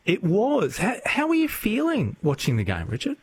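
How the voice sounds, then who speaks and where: A man's voice: sits high, wildly swooping pitch, moderate pace. Male radio interviewer over noisy ambience. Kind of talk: conversational broadcast interview.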